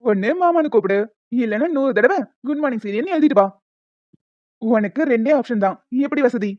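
Cocky young college guy, voiced by a woman, high-pitched. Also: animated, fairly quick, darkish tone, dry recording, dull audio, lightly acted. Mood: bossy, teasing. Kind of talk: cartoon story dialogue in colloquial Tamil slang.

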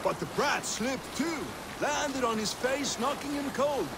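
A man playing a rough, boastful Viking raider who tells his tales in a video game, with a gravelly voice. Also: very high voice, measured pace. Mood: boastful, wry. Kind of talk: scripted game storytelling. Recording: clear water and boat noise.

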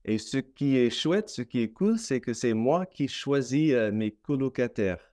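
A young Canadian man, a native English speaker, talking in careful learned French on a video call, with many hesitant pauses. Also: mid-range voice, expressive, darkish tone. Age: young adult. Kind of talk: casual conversation in learned French. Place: small room at home. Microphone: laptop webcam mic on video call.

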